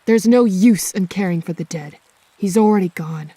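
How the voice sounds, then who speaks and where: Actress as guarded werewolf woman in a radio drama, rough husky voice, blunt, bitter, medium pitch. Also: normal speed, mellow, dry recording, natural voice. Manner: blunt, confrontational.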